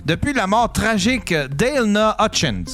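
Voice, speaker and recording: A man's voice: high voice, sing-song, a little slow, fairly bright tone, faint music behind. A man with a Québécois French accent co-hosting a comedy podcast, reading out headlines with casual, hesitant, giggly banter.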